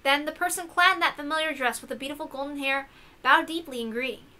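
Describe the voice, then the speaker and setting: Bright, high-voiced woman reading a story. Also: fast talker. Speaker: narrator of a visual novel read-through. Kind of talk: expressive scripted narration.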